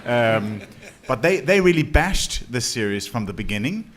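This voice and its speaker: A man's voice: mid-range voice, big pitch swings, measured pace, a bit bright. A Danish man speaks on a panel in English, his second language. He tells his story with amusement and laughs easily as he goes. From Denmark. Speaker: panelist, television writer. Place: on stage before an audience.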